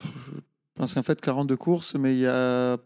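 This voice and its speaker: Male interviewee, Italian native, mid-range mellow voice, halting conversational delivery. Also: medium pitch, steady tone, clean tone, long reverb tail. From Italy. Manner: hesitant, halting phrasing. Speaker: interview guest, ultra-trail runner. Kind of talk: casual interview answers.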